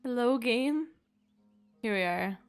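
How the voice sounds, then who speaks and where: A woman streams a game with casual live commentary. Her voice is fairly high with plenty of vocal fry, reacting aloud as she plays. Faint music runs underneath. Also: expressive, slow pace.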